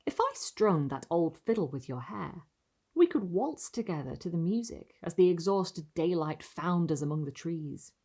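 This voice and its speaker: A woman reading gothic literary prose aloud as dramatic narration, with expressive, deliberate phrasing and pauses. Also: lowish voice. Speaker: reader of literary short stories.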